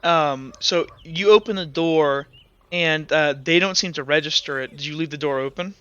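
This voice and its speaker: A man's voice: on the high side, moderate pace, fairly bright tone, clean tone, no echo. A man narrating a tabletop game as game master, rambling with many fillers and hedges. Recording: dull lo-fi audio.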